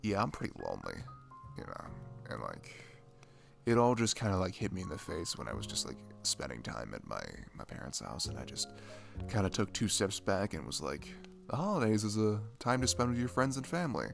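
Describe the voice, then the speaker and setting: A dorky, shy young man, played by a male performer talks close to the mic as a lonely best friend in an ASMR roleplay. His gravelly voice sits a bit low but swings up and down animatedly. He rambles haltingly and self-deprecatingly over faint music. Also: sing-song, fairly bright tone, full character voice. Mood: shy, downcast. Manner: hesitant, self-deprecating, halting with fillers and sighs. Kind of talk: intimate ASMR roleplay, casual rambling monologue.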